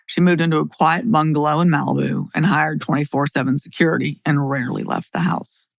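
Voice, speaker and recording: A woman's voice: low-pitched, fairly even intonation, brisk, gravelly, no room sound, studio quality. A middle-aged woman speaking as a podcast host and attorney, in a blunt, plain-spoken way. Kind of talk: scripted narration and opinionated podcast talk.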